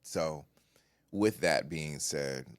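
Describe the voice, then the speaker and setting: A man with a deep voice co-hosting a casual podcast, talking in relaxed slang and getting animated. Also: very slow, clean tone, dry recording, clean studio sound. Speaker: podcast co-host, content creator.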